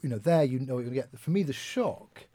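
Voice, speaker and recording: A man giving retail and brand advice in a business discussion, talking quickly with stumbling restarts. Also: medium pitch, lively intonation, mellow.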